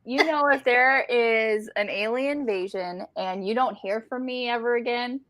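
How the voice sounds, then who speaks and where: A woman's voice: on the high side, moderate pace, fairly bright tone. A woman co-hosting a book livestream, chatting casually with a playful, easily amused manner. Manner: laughs readily, playful. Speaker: co-host of a book discussion livestream. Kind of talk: casual chat.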